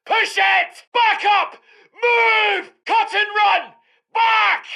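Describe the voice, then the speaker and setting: An actor playing a cocky, commanding heavy tank commander in a war video game, with a Scottish accent and a gravelly, gruff voice. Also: male voice, very high voice, fairly even intonation, extremely slow, sharp tone, raised voice, heavily acted. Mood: urgent, barking. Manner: commanding, salty, colourful slang. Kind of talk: shouted military unit voice lines.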